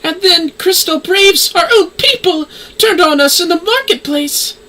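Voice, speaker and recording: A tiny, sweet young fantasy game heroine. Her voice is extremely high and bright, girlish and earnest, and she takes her words slowly, heavy with feeling. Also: lively intonation, distant mic, full character voice. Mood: distressed. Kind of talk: scripted fantasy game dialogue.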